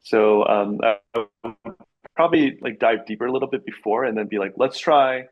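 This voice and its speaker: A man in his forties from Canada chats casually as a podcast guest. He sounds upbeat and easygoing, often answering with quick yeses and a laugh. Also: medium pitch, highs cut off. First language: Korean heritage, English first. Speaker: realtor guest.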